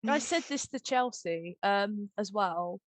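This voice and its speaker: A woman chatting casually and informally, with an animated, giggly manner, as she hosts a podcast. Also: medium pitch, slow pace, dry recording. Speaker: podcast host, audio drama director. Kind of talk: casual chat, behind-the-scenes discussion.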